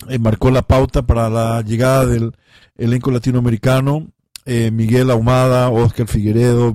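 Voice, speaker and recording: A man hosting a radio talk show speaks in a measured, formal and appreciative way. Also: medium pitch, mellow, no room sound. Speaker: host.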